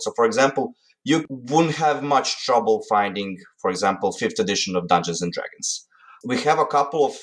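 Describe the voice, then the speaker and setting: A young man from Croatia with a Croatian accent, recounting his hobby in a relaxed way with frequent fillers. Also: fairly low pitch. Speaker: interviewee. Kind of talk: casual recounting.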